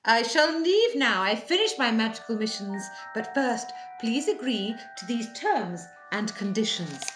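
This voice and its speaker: A woman's voice: fairly high pitch, sing-song, unhurried, roomy sound, over music, poor audio. An actress playing a chatty, officious fairy godmother in a comic pantomime, matter-of-fact and bumbling. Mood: brisk, officious. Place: wood-panelled living room, video call.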